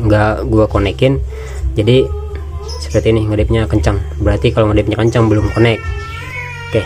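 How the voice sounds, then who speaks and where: A man's voice: lowish voice, warm tone. A man gives a casual, informal product walkthrough, explaining step by step and repeating phrases. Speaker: product reviewer and seller.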